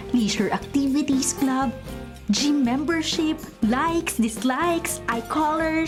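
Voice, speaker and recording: A young woman who is the gossipy best friend, high and very lively in pitch. She is sassy, slangy and teasing, in radio romcom style with music behind her. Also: heavily acted. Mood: amused, teasing. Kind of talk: Filipino radio romantic comedy acting.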